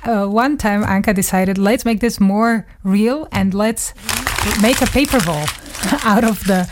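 A woman's voice: mid-range voice, a little slow, background noise. Woman, thoughtful radio guest. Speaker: radio journalist, studio guest. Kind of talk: reminiscing in a studio interview.

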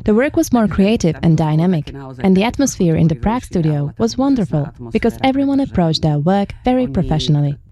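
A woman reading a translated voice-over in a calm, steady way. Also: lowish voice, brisk, hardly any pauses.

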